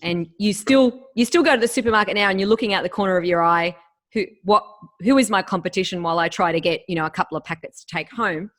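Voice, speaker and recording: A woman's voice: mid-range voice, fast. A woman, hosting an interview and asking long, emphatic questions. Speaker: interviewer, host.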